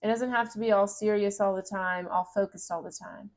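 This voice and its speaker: Gentle encouraging woman reading tarot. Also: mid-range voice, steady tone, fairly quick. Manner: gentle, encouraging, reads card text aloud. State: voice getting raspy. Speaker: tarot reader. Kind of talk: casual tarot reading to camera.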